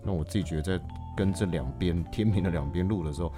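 A man's voice: deep voice, quick pace, music in the background. A man with a Taiwanese Mandarin accent, an actor speaking as a podcast guest in a reflective, explanatory, conversational way. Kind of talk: conversational interview.

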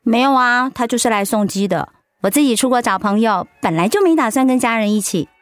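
A woman's voice: fairly high pitch, lively intonation, fairly quick, no room sound, soft background music, close to an everyday voice. An actress playing an outgoing, candid middle-aged woman in a conversational radio drama. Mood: friendly, cheerful. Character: warm, outgoing middle-aged woman, breast cancer survivor.

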